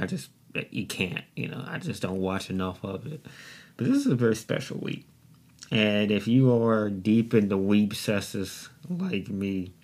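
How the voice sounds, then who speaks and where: A man's voice: on the low side, a little mellow. A young man hosting his own podcast, talking alone in a loose, rambling, profane and self-mocking way into a desktop microphone. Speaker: podcast host. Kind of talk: casual solo podcast monologue. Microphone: desktop USB microphone.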